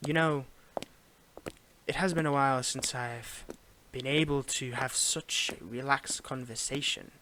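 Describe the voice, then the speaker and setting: Man playing a soft-spoken courteous Victorian footman, noisy recording. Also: mid-range voice, steady tone. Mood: courteous, smitten. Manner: formal old-fashioned phrasing, gentle, halting, lapsing into whispers. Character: courteous, flirtatious Victorian footman, weary, gentlemanly. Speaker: audio roleplay performer. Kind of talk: intimate ASMR roleplay acting.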